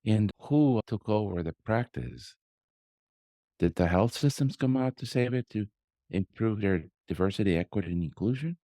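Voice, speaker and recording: A man's voice: on the low side, animated, normal speed, mellow, no echo. A man hosting a casual interview conversation, speaking in a pointed, sardonic way. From born in Colombia, raised elsewhere. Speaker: podcast host, pediatrician.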